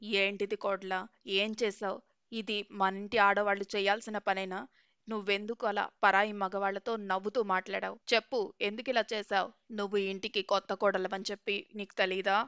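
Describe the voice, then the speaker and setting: A woman's voice: medium pitch, flat delivery, normal speed, fairly bright tone, clean tone, no echo, muffled recording, pitched below the performer's own voice, natural voice. A woman voicing a strict, scolding mother-in-law in a cartoon story. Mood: angry. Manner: stern, lecturing.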